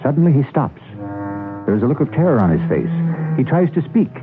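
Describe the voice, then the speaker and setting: A man hosting and narrating a radio mystery with measured, suspenseful, dramatic scripted delivery. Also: on the high side, very animated, over loud music. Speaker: host and narrator. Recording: dull lo-fi old radio recording.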